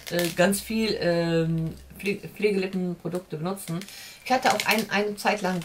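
A woman's voice: on the low side, distant mic, background noise. A woman in her forties chatting casually through a beauty box unboxing at home, rambling with lots of fillers and restarts. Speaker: beauty vlogger. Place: home room with shelves and warm lamps.

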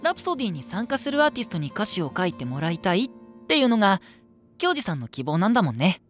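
An actor playing a gentle, easygoing, boyish young male band singer in an anime voice drama, with soft, casual phrasing. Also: medium pitch, sing-song, quick pace, faint music behind.

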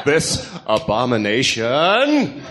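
A man voicing a grim, brooding masked vigilante with a deep growl and a solemn, menacing delivery in a radio drama. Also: wildly swooping pitch, slow, heavy background noise. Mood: disgusted.

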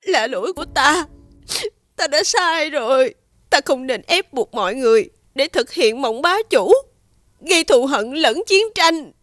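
High-voiced haughty cartoon princess. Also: female voice, expressive, measured pace, a bit bright, no echo. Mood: tearful remorse. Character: haughty, scheming young warrior princess, regal. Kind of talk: fairy-tale cartoon dubbing.

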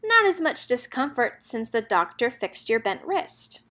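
A woman's voice: a little high, very animated, unhurried, bright, forceful tone. A woman narrator reading a children's picture book aloud, expressively and in a rhythmic lilt of rhyming verse.

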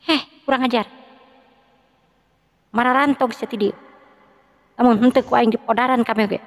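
High harsh voice, man playing a scornful young swordswoman, commanding, echoing. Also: steady tone, normal speed, gravelly. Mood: furious. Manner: harsh, threatening.

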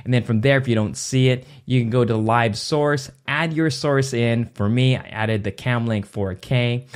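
Tutorial host, male, 30s, mid-pitched, easygoing step-by-step explainer, close boom mic in a home studio. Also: moderate pace, smooth. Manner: casual step-by-step explaining with restarts. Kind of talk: screen-recorded software tutorial. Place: small home studio indoors. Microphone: boom mic on arm near face.

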